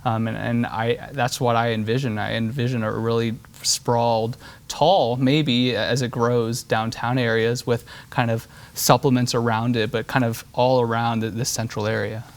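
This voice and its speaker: An earnest man in his thirties, from Switzerland, talks through his political vision in a political interview. His voice sits at an ordinary middle pitch, and he restarts and repeats words as he thinks aloud. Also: level tone, moderate pace. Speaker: state representative guest.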